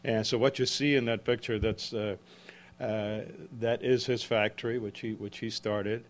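Halting older man from Czechia. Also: fairly low pitch, steady tone. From Czech Republic. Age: 70s. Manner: halting, with frequent restarts and self-corrections. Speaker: author. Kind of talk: book talk to a live audience. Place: event room with an audience.

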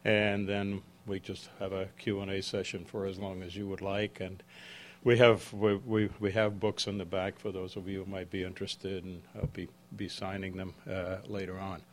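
A man in his seventies, from the Czech Republic, giving a book talk to a live audience in a halting way with frequent restarts and self-corrections. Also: low voice, little pitch movement. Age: 70s. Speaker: author. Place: event room with an audience.

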